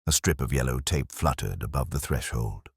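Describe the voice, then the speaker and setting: A man playing a weary, melancholy police inspector, narrating a detective drama in the first person in a measured, reflective, solemn way. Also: very deep voice, slightly rough, no echo, clean studio sound, heavily acted. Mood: brooding.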